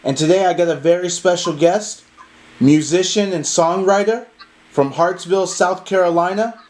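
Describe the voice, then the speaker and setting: A man's voice: sits high, a little mellow. Polite male interview host. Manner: polite, measured questions. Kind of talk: hosting an interview.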